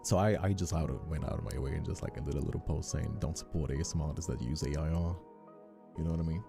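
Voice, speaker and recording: A man's voice: very deep voice, fast talker, gravelly. A man hosting a casual, rambling livestream chat, speaking in an earnest, informal way and often repeating himself as he finds his words. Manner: hesitant, repetitive, informal, earnest. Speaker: ASMR creator and streamer hosting a chat. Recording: faint music behind the voice. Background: faint music.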